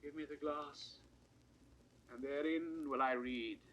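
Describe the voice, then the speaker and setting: A man's voice: fairly high pitch, steady tone, fairly bright tone, poor audio. An English actor playing a grief-stricken, proud deposed king in declamatory Shakespearean verse, in a 1960s audio drama. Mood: bitter grief. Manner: declamatory, drawn-out theatrical verse delivery. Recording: vintage studio recording, faint hiss.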